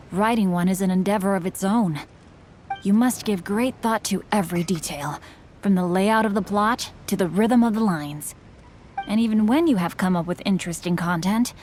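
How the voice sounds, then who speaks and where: A woman's voice: mid-range voice, darkish tone, a little hiss or hum, lightly acted. A woman voicing a polite, bookish young male swordsman and novelist in a video game, speaking in a courteous, formal way.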